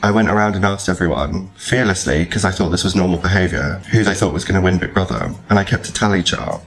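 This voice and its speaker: A man's voice: low voice, fast. British man, thirties, podcast host, casual chat, enthusiastic, self-deprecating. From United Kingdom. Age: 30s.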